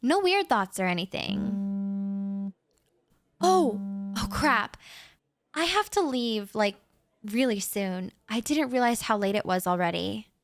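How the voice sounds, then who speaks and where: A woman's voice: mid-range voice, expressive, slow, pauses often, faint music behind. A woman playing a shy, anxious young writer who rambles nervously with stammers and little laughs, talking straight to the listener in a roleplay audio. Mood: shy, rambling.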